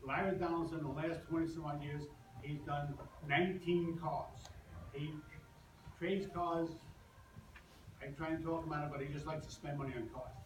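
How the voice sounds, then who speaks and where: Older new man, rambling and joking, slightly high voice, echoey hall, far mic. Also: steady tone. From Brooklyn, New York. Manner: rambling, joking, halting with restarts. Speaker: business owner hosting a presentation. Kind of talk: casual talk to a live audience. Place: large echoey room with an audience. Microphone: far from the recording mic. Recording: echoey room, distant mic, noisy.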